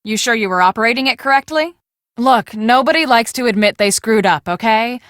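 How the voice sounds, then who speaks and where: An actress playing a brisk, bossy young woman on a storm-chasing team in a video game, speaking emphatically and expressively. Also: on the high side, expressive, fairly bright tone, no echo, clean studio sound. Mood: curt, irritated. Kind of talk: scripted video game dialogue.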